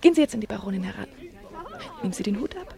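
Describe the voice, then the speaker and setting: A woman's voice: medium pitch, big pitch swings, very fast, vocal fry, warm tone. An actress in a German radio play, playing a cool, imperious young woman who mocks and commands her admirer. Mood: commanding, taunting. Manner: commanding, taunting.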